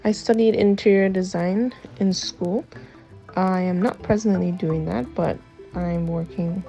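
Hesitant woman with a gravelly voice. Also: fairly low pitch, warm tone. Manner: hesitant, many fillers. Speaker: interviewee. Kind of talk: casual recorded answers. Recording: dull lo-fi audio with faint music.

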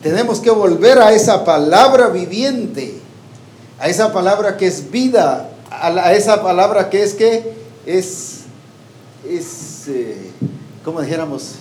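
A man's voice: high voice, lively intonation, measured pace, a little mellow. A man preaching a sermon to a congregation, often asking them questions and waiting for their answers. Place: church hall with a congregation. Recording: echoey hall with faint background noise.

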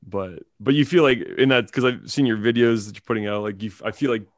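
A man's voice: on the low side, fast, dry recording, clean studio sound. A man hosting a casual chat, asking loose, filler-heavy questions.

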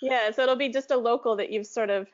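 A woman's voice: a little high, fairly even intonation, quick pace, dull audio. A woman speaking as a podcast host in a casual conversational interview style.

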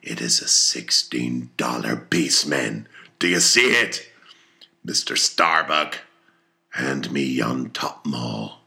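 A man voicing a fierce, obsessive old whaling captain in an audiobook, declaiming his orders with slow, emphatic force. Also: extremely low pitch, animated, gravelly, sharp tone, voice pushed down, full character voice. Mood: commanding.

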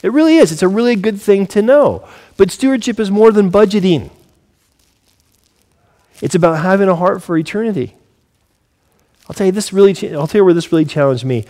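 A man's voice: sits high, lively intonation, fairly quick, pauses often, mellow. A man in his forties speaking as a pastor, giving a sermon in an earnest, conversational way and putting questions to his listeners. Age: 40s.

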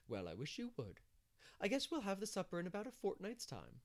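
Man playing a high, bustling village matron. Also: wildly swooping pitch, exaggerated voice. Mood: opinionated, confident. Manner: chatty, brisk, sure of herself.

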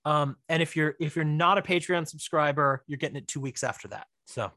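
A man speaks as a guest in a relaxed podcast conversation. His voice sits a bit higher than most men's, and the sound is very dry. He talks informally, often repeating a phrase to underline it. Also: brisk, no room sound. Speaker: guest, writer-director. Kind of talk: casual podcast chat.

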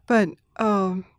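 A middle-aged woman, an interview guest who grew up in Thailand, speaking thoughtfully with restarts, soft laughs and throat clearing. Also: medium pitch, dry recording. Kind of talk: reflective conversational interview.

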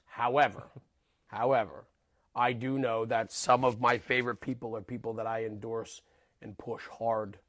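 A man's voice: medium pitch, level tone, moderate pace, a bit bright. A man hosting a podcast, bantering casually and teasing his guest, rambling with fillers. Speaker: podcast host. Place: studio with cameras.

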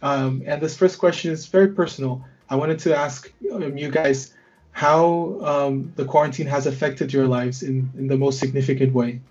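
A man's voice: medium pitch, fairly even intonation, moderate pace, darkish tone, highs cut off. A young man hosting a student podcast conversation, hesitant with many fillers and restarts. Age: young adult. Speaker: podcast host.

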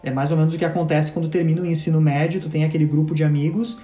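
A man with a mellow, dark voice, musing at length in a thoughtful way. The room is echoey, with faint music underneath. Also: on the high side, steady tone, racing. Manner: reflective, talks in long stretches. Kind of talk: casual conversational chat.

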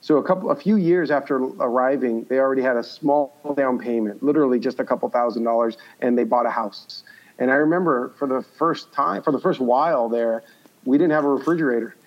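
Male interview guest, mid-pitched, conversational storyteller who repeats for emphasis, slightly dull lo-fi recording. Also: a little fast. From born in Cuba, grew up in the United States. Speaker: podcast guest, entrepreneur.

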